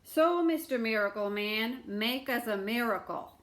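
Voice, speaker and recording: A woman voicing eager, pleading storybook townsfolk, calling out with excited insistence. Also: on the high side, measured pace. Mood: demanding. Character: eager, pleading townsfolk offering food to a beggar.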